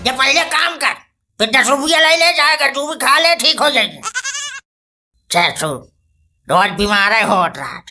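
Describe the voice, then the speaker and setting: A woman's voice: high-pitched, very animated, pushed and bright, slight background noise, heavily acted. A woman playing a stubborn, scornful old male village patriarch in a comic cartoon, with an Awadhi accent and indignant, sarcastic scolding. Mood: angry scolding. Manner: indignant, sarcastic scolding with drawn-out rhetorical questions.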